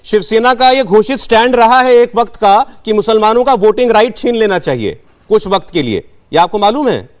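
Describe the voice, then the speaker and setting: High-pitched male TV debate host. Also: lively intonation, fairly quick. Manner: pointed questioning, interjecting to direct speakers. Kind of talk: moderating a live news debate.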